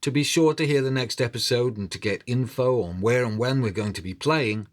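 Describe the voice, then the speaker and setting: A man telling stories in a dramatic, theatrical style with deliberate phrasing. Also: medium pitch. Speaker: storyteller. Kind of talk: dramatic oral storytelling. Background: prominent music.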